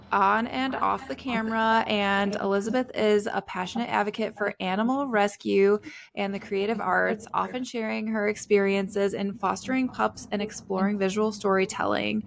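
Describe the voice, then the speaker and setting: Upbeat woman host with vocal fry. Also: mid-range voice. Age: adult, married. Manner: bubbly, eager, reads a polished guest introduction. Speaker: podcast host. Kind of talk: casual interview chat.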